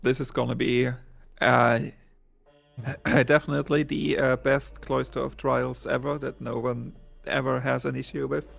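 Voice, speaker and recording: A man giving casual, explanatory speedrun commentary in a halting, hesitant way. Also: medium pitch, level tone, creaky. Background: video game music.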